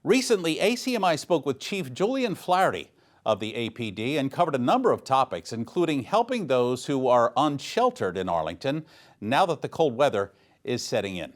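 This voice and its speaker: A man anchors a local newscast, reading from a script. His mid-pitched voice moves up and down in a lively, animated way as he delivers announcements. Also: moderate pace. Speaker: news anchor. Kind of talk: scripted local news narration.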